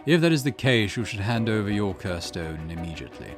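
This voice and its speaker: A man's voice: lowish voice, big pitch swings, fairly quick, over music. A man voicing a stern, insistent company man with a formal, commanding manner in a visual novel game. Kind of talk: visual novel character reading.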